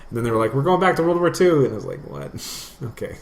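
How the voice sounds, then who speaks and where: A man's voice: mid-range voice, big pitch swings, quick pace. A man chatting casually and ramblingly as a podcast co-host. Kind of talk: casual gaming chat. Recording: roomy echo, clear background noise.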